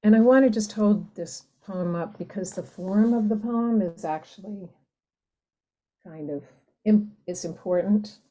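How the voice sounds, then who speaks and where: A woman poet talking reflectively and reading her work in a thoughtful, deliberate way. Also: medium pitch, dark tone, low-quality recording. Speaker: poet, podcast guest. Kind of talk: reflective talk and poetry reading.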